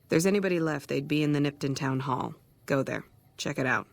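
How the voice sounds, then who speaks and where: An actor playing a weary, blunt ranger sniper in a video game, with dry, sardonic delivery. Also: male voice, a little high, fairly quick, gravelly, no room sound. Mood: serious, businesslike. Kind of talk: video game dialogue acting.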